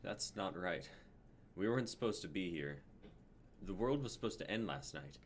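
A man in his twenties acts a baffled, agitated young man in an amateur script reading. His intonation is animated, jumping around as he sounds panicked and incredulous. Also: deep voice, normal speed, a little hiss or hum. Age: 20s.

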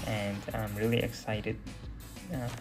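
A young man giving casual gameplay commentary in a hesitant, halting way. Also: lowish voice, level tone. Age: young adult. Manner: hesitant, halting delivery with trailing phrases. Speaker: gaming video creator. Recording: loud music and game audio behind. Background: loud music.

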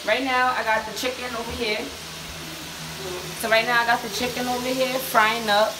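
A young woman filming a cooking video on her phone in her home kitchen, chatting to viewers in a relaxed, slangy way. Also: on the high side, moderate pace. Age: young adult. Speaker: cooking vlogger. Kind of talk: casual cooking demo. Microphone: handheld phone camera. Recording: sizzling pan noise behind. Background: oil frying and sizzling.

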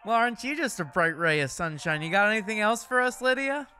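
A man's voice: high-pitched, expressive, fairly quick, sharp tone, faint music behind. A man playing a wry, theatrical horror radio co-host in an audio drama, with flowery, jokey banter.

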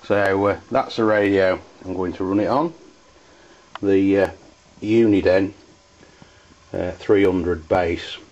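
An English man demonstrating hobby gear in a halting, hesitant way. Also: deep voice, fairly even intonation, darkish tone, highs cut off. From United Kingdom. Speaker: CB radio enthusiast reviewing gear.

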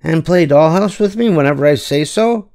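A man's voice: fairly high pitch, lively intonation, normal speed, darkish tone, voice pushed up. A man voicing a bossy, dramatic little girl aardvark who tattles, in a children's storybook.

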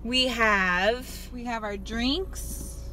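A woman's voice: fairly high pitch, extremely slow, pushed and bright. A woman, chatting informally to camera as a vlogger in a car. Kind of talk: casual vlog chat to camera. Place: inside a car. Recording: faint car and ambient noise.

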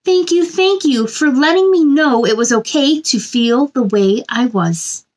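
A woman's voice: high-pitched, animated, unhurried. A woman in her thirties hosting a story time for children, speaking expressively and simply to young listeners. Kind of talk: picture book read-aloud and chat to young viewers. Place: small indoor room.

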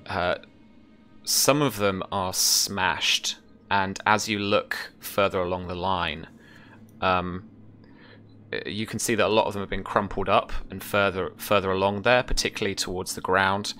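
A man in his thirties narrating a tabletop game as game master, descriptive and measured, with trailing pauses. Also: deep voice, steady tone, sharp tone, faint music behind. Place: small indoor room at home.

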